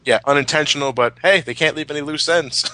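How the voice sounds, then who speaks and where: A man's voice: fairly high pitch, a little fast, bright, forceful tone, no echo. A man giving casual fan commentary, opinionated and emphatic, with plenty of swearing. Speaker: commentary host.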